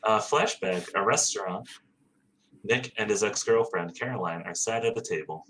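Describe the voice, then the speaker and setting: A man's voice: on the low side, normal speed, fairly bright tone, slight background noise, poor audio. A man hosting a podcast table read, switching between casual chat and narrating stage directions.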